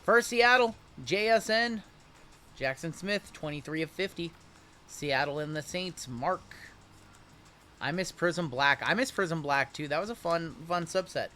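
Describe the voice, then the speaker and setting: Bright-voiced man hosting a card break. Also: on the high side, unhurried, soft background music. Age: 30s. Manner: chatty, rattles off player names and chats with viewers. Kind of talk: live card break stream patter.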